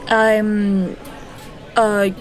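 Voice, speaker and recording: Slow, hesitant, shy young heroine. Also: female voice, medium pitch, flat delivery, faint music behind. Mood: flustered. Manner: hesitant, halting, polite. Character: shy, nervous, polite young woman in hiding. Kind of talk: fantasy audio drama acting.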